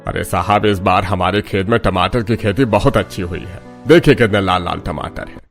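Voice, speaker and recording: A man's voice: low-pitched, moderate pace. A man playing a scheming village headman and tomato farmer in a cartoon story, taunting and condescending. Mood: boastful. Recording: music behind the voice.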